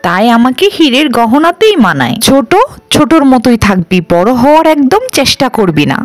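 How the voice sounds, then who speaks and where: Casting: woman as conceited landlord's eldest daughter for a cartoon, high register, scornful, dry sound over music. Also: very animated, moderate pace, dry recording. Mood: haughty. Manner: scornful, bossy. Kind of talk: cartoon voice acting.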